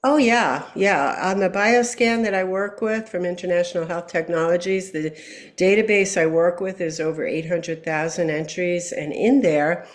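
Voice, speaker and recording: A woman's voice: on the low side, moderate pace. A woman, a health practitioner guest, giving long explanatory answers with frequent fillers over a video call. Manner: explanatory, steady, many fillers. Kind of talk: interview answer.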